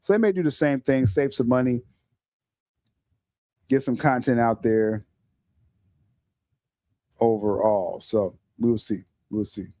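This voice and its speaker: A middle-aged man hosting a casual podcast livestream, talking in an animated way, stumbling over repeated words and often laughing. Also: medium pitch, takes it slowly, long pauses, dry recording, studio quality. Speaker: podcast host.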